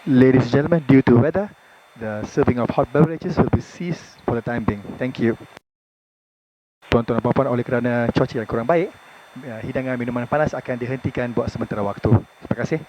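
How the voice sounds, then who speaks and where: A man's voice: medium pitch, quick pace, mellow, slight background noise, rough recording. A man making a scripted cabin announcement as a flight attendant.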